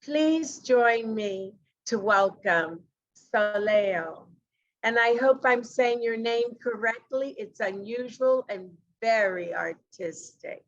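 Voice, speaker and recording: Slow, deliberate woman hosting a podcast. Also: a little high, muffled recording. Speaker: podcast host. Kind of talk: interview podcast. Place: home living room. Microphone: video call webcam.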